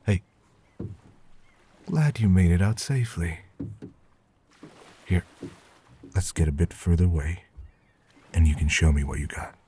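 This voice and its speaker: Audio drama hunter: male actor as an encouraging guild veteran, dark mellow voice, slow casual delivery, slight background noise. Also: deep voice, lively intonation. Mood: calm curiosity. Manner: unhurried, casual, approving.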